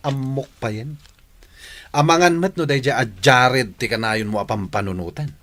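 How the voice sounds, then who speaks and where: A man's voice: medium pitch, unhurried, fairly bright tone, natural voice. A man with an Ilocano accent playing a jealous, stingy, grumbling village husband in a radio comedy drama. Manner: scolding, suspicious.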